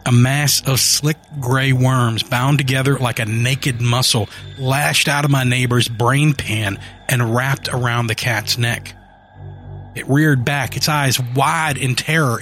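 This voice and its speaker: A man narrates a dark first-person horror tale at a slow, deliberate pace, his pitch sitting right in the middle. The telling is grim and steady, with a soft bed of music underneath. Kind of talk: scripted horror story narration.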